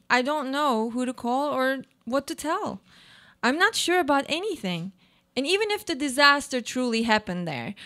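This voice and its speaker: A woman's voice: on the high side, fairly bright tone. A woman playing a troubled, self-doubting housewife in a reflective, questioning radio play monologue.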